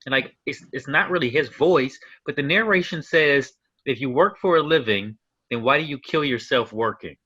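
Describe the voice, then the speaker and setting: A man's voice: mid-range voice, normal speed, pushed and bright, slightly rough, lo-fi sound. A man co-hosting a casual movie review chat, easygoing and asking the group questions. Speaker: podcast co-host.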